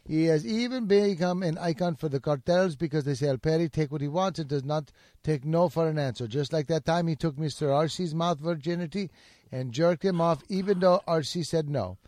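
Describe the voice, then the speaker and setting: An earnest male superfan stumbles through a letter in halting broken English, as a podcaster reads it out. The voice sits fairly high, and the studio sound is dry. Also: a little mellow, no room sound, close to an everyday voice.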